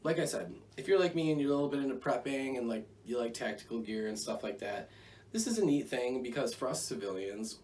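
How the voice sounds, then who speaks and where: Low-voiced American man, casual gear review. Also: a little mellow. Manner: rambling, conversational, jokey asides. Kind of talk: casual product review to camera. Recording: distant mic, faint background noise.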